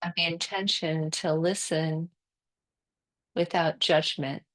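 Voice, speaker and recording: A woman teaching guided yoga nidra meditation, speaking calmly and soothingly in short phrases with long pauses between them. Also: deep voice.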